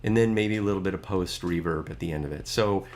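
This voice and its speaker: A man's voice: sits low, fast talker, a little mellow. A middle-aged man talking casually about his music gear, chatty and a bit rambling.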